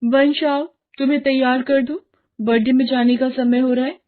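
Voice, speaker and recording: A woman's voice: sits high, fairly even intonation, normal speed, voice pushed up, lightly acted. A woman voicing a greedy, complaining young wife and mother in a cartoon story. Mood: insistent. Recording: narrow phone-like sound.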